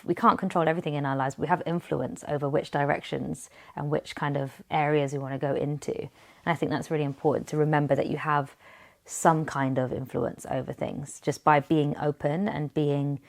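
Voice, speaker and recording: Woman, very low voice, earnest, casual podcast co-host, rambling storyteller. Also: steady tone, normal speed, creaky, darkish tone. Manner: earnest, tells stories at length with fillers. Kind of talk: casual chat.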